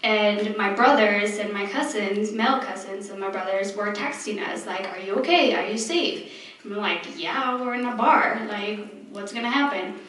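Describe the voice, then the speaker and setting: A woman from Mexico with a Mexican accent, a native Spanish speaker on a panel, speaking earnestly with many pauses and ums. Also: medium pitch, fairly bright tone. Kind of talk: earnest personal account on a panel. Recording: echoey hall, far from the mic, faint noise.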